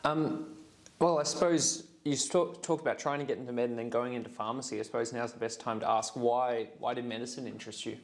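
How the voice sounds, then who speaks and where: A man's voice: mid-range voice, fairly even intonation, a little fast. A young man casually interviewing a friend, friendly and loose, with many fillers.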